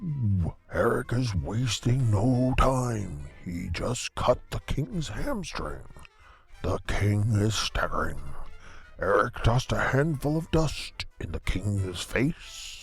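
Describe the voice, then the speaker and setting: An actor playing a deadpan small-town radio host, giving measured, folksy play-by-play of cosmic horror in an audio comedy. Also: male voice, fairly low pitch, vocal fry, soft background music, exaggerated voice. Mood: intrigued, dramatic. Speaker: co-host and commentator.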